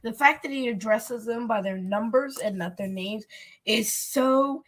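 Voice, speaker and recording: A woman with a hoarse, gravelly voice co-hosting a live-streamed podcast, quick to add points and correct her co-host. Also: on the high side, a bit bright, low-quality recording. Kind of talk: casual live-stream chat.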